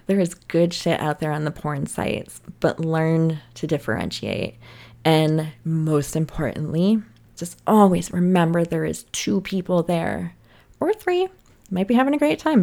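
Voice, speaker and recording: A woman's voice: lowish voice, darkish tone. A young woman talking candidly to camera, frank and profane, laughing often between lines. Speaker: sex and relationships vlogger. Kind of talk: candid casual monologue to camera.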